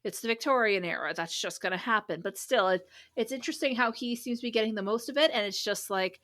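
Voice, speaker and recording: A woman hosting a casual book chat podcast, expressive and exasperated, swearing freely. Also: medium pitch, quick pace. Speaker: podcast host. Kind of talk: casual chat about a novel.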